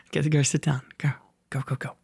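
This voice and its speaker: A man's voice: fairly high pitch, flat delivery, unhurried, gravelly, darkish tone, slightly rough, no room sound, studio quality. A young man speaking in a casual, playful and teasing boyfriend-roleplay ASMR style, rambling as he chats to the listener. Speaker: ASMR roleplay performer, reader.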